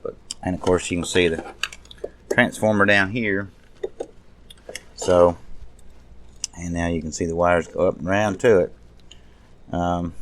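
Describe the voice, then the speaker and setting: Male hobbyist presenter, low register, folksy and off-the-cuff, thinking aloud during a casual bench walkthrough. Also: a bit bright, a little hiss or hum. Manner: unscripted, muttering to self while working. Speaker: radio restoration hobbyist, presenter. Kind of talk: casual hands-on restoration walkthrough.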